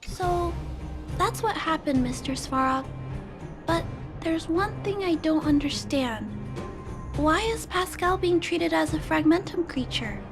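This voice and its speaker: A woman voicing a gentle, earnest young girl who speaks softly and politely, in a game cutscene with music. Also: very high voice, expressive, a little slow, exaggerated voice.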